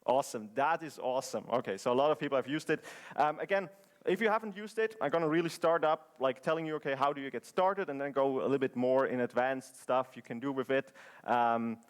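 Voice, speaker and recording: A man's voice: mid-range voice, fairly quick. A man from Switzerland giving a conference presentation in English, chatty and joking, with many fillers and restarts. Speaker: conference speaker. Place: conference hall.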